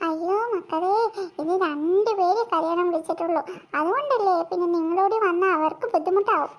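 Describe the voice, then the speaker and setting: A performer voicing a brisk, exasperated young mother who admonishes in a comedy cartoon. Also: very high voice, highs cut off. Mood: coaxing, firm.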